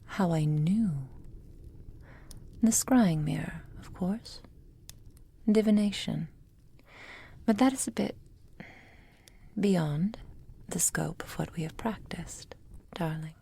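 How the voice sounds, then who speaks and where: A woman playing a possessive, manipulative witch lover in an intimate ASMR roleplay, whispering in a formal, measured, softly controlling way. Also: fairly low pitch, exaggerated voice. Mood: tender, coy. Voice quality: breathy, mostly whispered.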